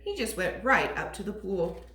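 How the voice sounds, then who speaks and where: A woman teacher reading a storybook aloud to toddlers in an expressive, sing-song way. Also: medium pitch, normal speed. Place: classroom. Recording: echoey room.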